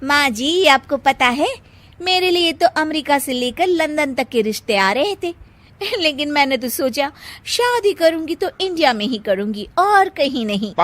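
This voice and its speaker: A woman's voice: high-pitched, lively intonation, measured pace, fairly bright tone, pitched above the performer's own voice. A woman voicing a boastful young daughter-in-law who shows off haughtily in a family story. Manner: haughty, showing off. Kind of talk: animated moral-story narration acting.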